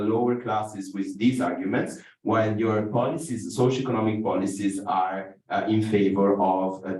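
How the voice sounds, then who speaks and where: A man from Italy, speaking as a conference panelist in a measured way with frequent fillers. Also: on the low side, a little mellow. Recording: echoey room, distant mic.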